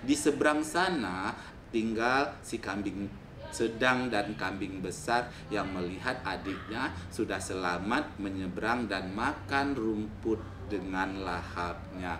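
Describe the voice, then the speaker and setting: A man's voice: fairly low pitch, slow. A man telling a folktale to children as a storyteller. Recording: echoey room with faint noise.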